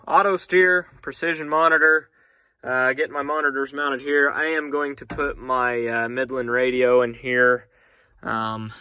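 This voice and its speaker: A young man, a farmer vlogger, talking casually about his tractor cab setup while holding his phone, relaxed and chatty with many pauses. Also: medium pitch, no echo. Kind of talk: casual vlog walkthrough. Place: farm shop and tractor cab. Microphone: handheld phone at arm's length.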